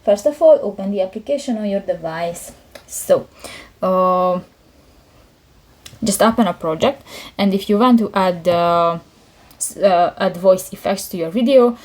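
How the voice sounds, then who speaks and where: Woman giving a halting app tutorial. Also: fairly low pitch. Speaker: tutorial host. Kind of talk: casual spoken app tutorial.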